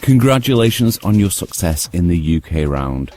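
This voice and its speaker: A man hosting a radio show, reading interview questions in a measured, even way. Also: low-pitched. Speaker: radio host. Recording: music bed underneath. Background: music.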